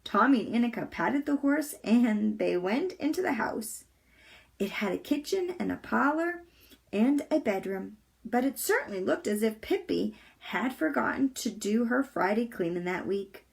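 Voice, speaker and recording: A woman reading a children's chapter book aloud with deliberate, expressive storytelling. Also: a little high, vocal fry. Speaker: volunteer storybook reader.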